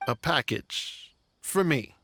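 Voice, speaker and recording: A man playing a reclusive, grieving scholar in an anime game, subdued and halting. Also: mid-range voice, lively intonation, pushed and bright, a little hiss or hum, heavily acted. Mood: puzzled. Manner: melancholy, halting, sighing. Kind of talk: English dubbed anime game acting.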